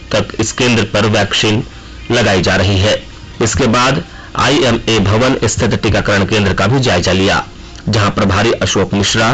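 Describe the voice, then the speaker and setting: Male radio newsreader, low and rough-edged voice, formal bulletin delivery. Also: a bit bright, low-quality recording. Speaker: radio news reader. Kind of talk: formal scripted news bulletin reading. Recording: regional radio broadcast.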